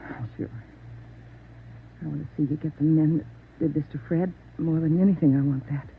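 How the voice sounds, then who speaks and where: Grieving widow, tinny old radio. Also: female voice, low-pitched, steady tone, creaky, breathy edge, exaggerated voice. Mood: subdued grief. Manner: restrained, halting, solemn. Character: stoic, grieving young policeman's widow, gentle but resolute. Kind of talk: 1940s radio acting. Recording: tinny, faintly noisy old radio recording.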